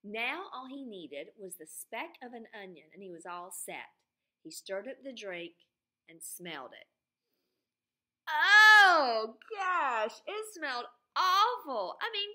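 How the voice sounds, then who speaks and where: A woman's voice: high voice, sing-song, takes it slowly, sharp tone. A woman teacher reading a children's story aloud expressively, drawing out key words and putting questions to her pupils.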